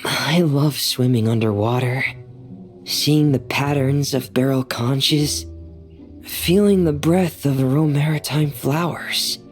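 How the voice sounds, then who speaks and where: Low, slow, shy young diver. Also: female voice, soft background music. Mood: earnest, wistful. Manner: hesitant, soft-spoken, earnest. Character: shy, gentle, earnest young woman diver. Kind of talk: anime game voice acting.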